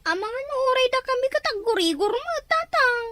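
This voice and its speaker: A woman's voice: very high-pitched, sing-song, fairly quick, sharp tone, no echo, heavily acted. A woman with an Ilocano accent playing a pleading, petulant young student in a radio drama.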